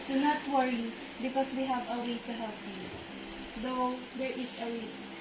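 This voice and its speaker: A woman's voice: on the high side, fairly even intonation, normal speed, close to an everyday voice. A woman with a Filipino accent playing a formal, reassuring hospital doctor in an amateur student play. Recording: echoey, noisy, distant microphone.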